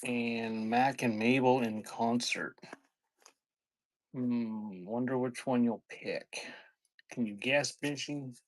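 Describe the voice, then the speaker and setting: A man's voice: medium pitch, fairly even intonation, takes it slowly, pauses often. A man hosting a live online sale, reading out items and chatting casually in an offhand way. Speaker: online live-sale seller of vintage cassettes. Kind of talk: casual live-stream selling chat.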